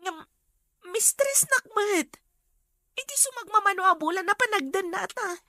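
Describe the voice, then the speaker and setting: A woman's voice: extremely high pitch, expressive, moderate pace, bright, forceful tone, no room sound, studio quality, exaggerated voice. A woman playing a clingy, lovelorn mistress in an Ilocano radio drama, pleading melodramatically with a Filipino accent. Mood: wounded pleading.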